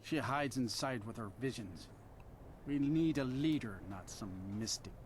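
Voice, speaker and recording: A man's voice: mid-range voice, lively intonation, a little slow, a little hiss or hum, close to an everyday voice. An actor playing a disgruntled, suspicious townsman in a fantasy game, grumbling bitterly. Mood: bitter, worried. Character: disgruntled, suspicious male townsman fed up with his ruler. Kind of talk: dramatic game dialogue.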